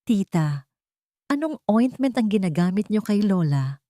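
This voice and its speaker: A woman's voice: mid-range voice, sing-song, unhurried, warm tone, dry recording, studio quality, voice pushed up. Audio story role: young female med student, protective granddaughter, worried, firm, suspicious, performed by a woman narrator. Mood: probing. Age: young adult. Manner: firm, probing, concerned.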